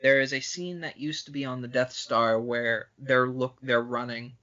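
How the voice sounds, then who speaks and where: A man speaks with a bright, forceful edge at an average pitch, arguing bluntly and swearing freely as he co-hosts a casual film podcast. The recording is slightly dull and lo-fi. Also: steady tone, moderate pace. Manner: blunt, profane, opinionated. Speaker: podcast co-host.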